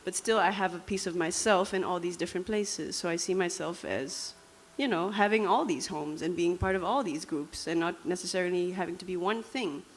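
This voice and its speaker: A woman's voice: fairly low pitch, fairly quick, few pauses, low-quality recording. A young woman from Namibia with a Dutch accent, giving a reflective, conversational personal talk with frequent fillers. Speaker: speaker.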